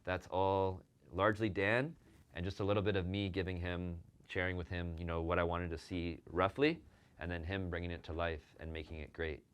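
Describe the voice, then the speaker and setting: A man's voice: deep voice, flat delivery, a little fast, clear, resonant tone. A man hosting an interview, speaking casually and praising his guest with many fillers. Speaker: show host and agency owner.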